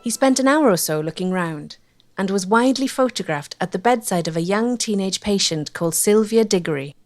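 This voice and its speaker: A woman's voice: mid-range voice, lively intonation, brisk, soft background music. A woman narrating a history documentary from a script.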